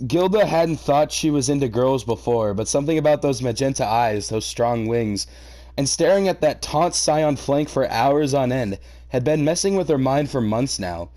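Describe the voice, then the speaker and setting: Animated man reading aloud with mocking commentary. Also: medium pitch, normal speed. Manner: mocking, sweary, theatrically exasperated. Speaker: host reading a fan fiction aloud and reacting. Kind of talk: comedic reaction reading, narration broken by commentary.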